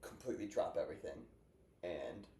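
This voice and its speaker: Deep-voiced young man chatting. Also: animated, brisk, gravelly, warm tone. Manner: rambling, filler-heavy, upbeat. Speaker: podcast host. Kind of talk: casual chat. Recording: echoey room with faint noise.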